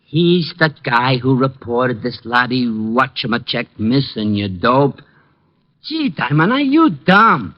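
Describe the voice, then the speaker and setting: A man playing a slow-witted, whiny police sergeant who takes offense easily, in comic 1940s radio style. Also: mid-range voice, very animated. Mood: exasperated. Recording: dull lo-fi old radio recording.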